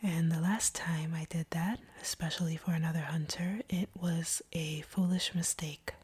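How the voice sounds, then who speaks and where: A woman playing a guarded, wounded vampire in an intimate audio roleplay, speaking softly and haltingly in a breathy near-whisper. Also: deep voice, fairly even intonation. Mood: wounded, sorrowful. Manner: slow, hesitant, halting delivery. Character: guarded, wounded female vampire confessing feelings.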